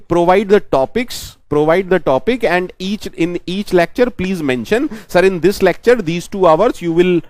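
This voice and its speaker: A man's voice: sits high, a little mellow, dry recording. A man with an Indian English accent, a native Hindi speaker, giving an online programming lecture, repeating his phrases and answering questions on the students' behalf. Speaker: programming teacher.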